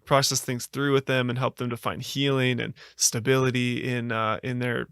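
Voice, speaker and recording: An American man speaks in an even, level tone with a smooth, clean voice. He tells his story earnestly, pausing often with fillers and restarting his thoughts. The recording is dry and close, with no room sound. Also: mid-range voice, no echo. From United States. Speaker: podcast guest. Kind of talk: reflective storytelling.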